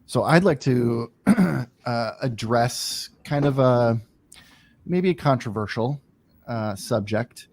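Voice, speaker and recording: Slow-talking male host. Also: mid-range voice, a little mellow. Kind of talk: casual interview questions.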